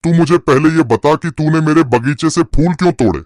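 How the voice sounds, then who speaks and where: A man's voice: a little high, a little fast, darkish tone, no room sound, studio quality, natural voice. A man playing a dangerous, threatening ghost in a dramatized moral story. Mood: angry, threatening. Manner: threatening, commanding. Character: dangerous, menacing male ghost guarding his garden.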